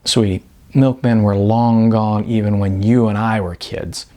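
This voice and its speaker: A man's voice: lowish voice, fairly even intonation, measured pace, warm tone, close to an everyday voice. A man voicing a brash, chatty middle-aged female talent agent, breezy, blunt and flirty, in an audiobook. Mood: teasing, emphatic. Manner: breezy, wisecracking, emphatic.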